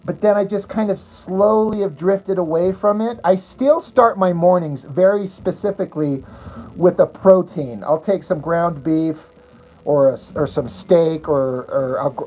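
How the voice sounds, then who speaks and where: A woman livestreaming casually to her viewers, rambling bluntly and crudely as she answers their comments. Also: lowish voice. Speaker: livestreamer chatting with viewers while drawing. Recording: tinny phone-like audio.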